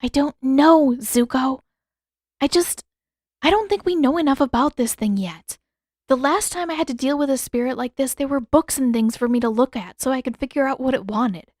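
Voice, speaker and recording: A woman's voice: sits high, moderate pace, darkish tone, no echo, studio quality, pitched above the performer's own voice. A woman voicing a feisty, stubborn teenage girl heroine in a fantasy audiobook, with emphatic, exasperated delivery. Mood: earnest.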